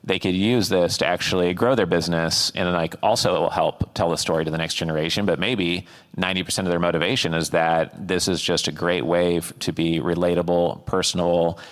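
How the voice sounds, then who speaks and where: A man's voice: low voice, fairly even intonation, a little fast. A man hosting a fireside chat on stage, asking long, rambling questions with many fillers. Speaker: interviewer and host.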